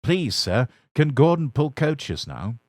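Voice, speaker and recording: A man voicing a cheeky, excitable little male tank engine in a storybook reading, with an impish, bouncy delivery. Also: mid-range voice, no room sound, studio quality, voice pushed up. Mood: eager. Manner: bouncy, impish, quick to cry out. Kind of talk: storybook character voice.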